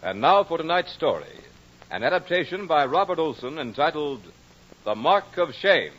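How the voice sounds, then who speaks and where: An actor playing an ominous, grandiose host of an old radio horror series, announcing in a theatrical, declamatory way. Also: male voice, on the high side, big pitch swings, moderate pace, background noise. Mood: formal, inviting. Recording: muffled old radio recording, music behind.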